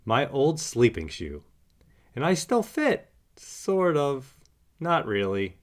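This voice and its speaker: A man's voice: medium pitch, wildly swooping pitch, slow. A man playing a playful, goofy young male puppy in a storybook, with an enthusiastic manner. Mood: playful, excited.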